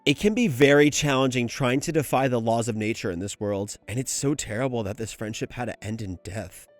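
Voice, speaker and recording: A man's voice: medium pitch, a little mellow, dry recording. A man hosting a video essay, delivering scripted analysis in a casual, conversational way.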